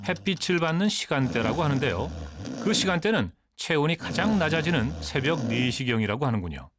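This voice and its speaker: A man giving scripted documentary narration in a smooth, informative manner. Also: fairly high pitch, very animated, rapid-fire, background noise. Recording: voice-over with music behind.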